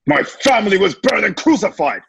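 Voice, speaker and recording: Man playing a gravelly, bitter Roman gladiator. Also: high-pitched, sing-song, brisk, full character voice. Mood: bitter, accusing. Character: bitter, grieving male Roman gladiator, proud and distrustful. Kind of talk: amateur radio drama acting.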